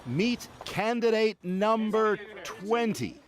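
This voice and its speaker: A man's voice: high voice, animated, takes it slowly. A male correspondent narrating a news report with a dry, wry delivery.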